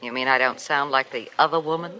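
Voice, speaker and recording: A woman's voice: very deep voice, quick pace, pushed and bright, lo-fi sound. An actress in a 1950s radio drama playing a worldly, frank woman in love with a married man, with a tough, wisecracking manner.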